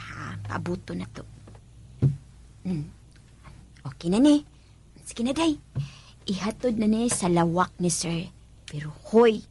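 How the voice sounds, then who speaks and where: Chatty, animated, high-voiced housemaid. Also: female voice, a little hiss or hum. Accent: Filipino. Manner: gossipy, bossy, playful. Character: chatty, earthy senior housemaid showing newcomer around. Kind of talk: radio drama acting.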